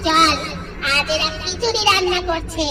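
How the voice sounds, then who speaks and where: A spiteful female tree ghost from a cartoon, speaks in an extremely high voice. She is greedy and menacing, always scheming, over loud background music. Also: a little slow, far from the mic. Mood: menacing, resentful. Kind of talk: cartoon character dubbing.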